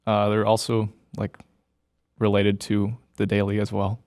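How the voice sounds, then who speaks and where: A man's voice: fairly low pitch, completely flat delivery, unhurried, a little mellow, no room sound. A young man from Iowa, a student videographer, answering interview questions hesitantly with lots of fillers in a podcast studio. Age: young adult.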